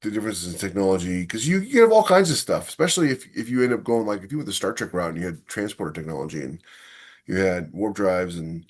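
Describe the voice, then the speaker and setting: Casting: male podcast host, lower-pitched voice, loose conversational delivery that works ideas out aloud. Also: big pitch swings, fast, slightly rough. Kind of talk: casual podcast discussion.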